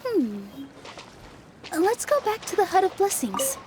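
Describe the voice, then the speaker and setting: A woman's voice: very high voice, big pitch swings, measured pace, noisy background, exaggerated voice. A woman voicing a playful, theatrical young woman who works fairy-tale magic in a video game, prone to dramatic declarations. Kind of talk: video game cutscene voice acting.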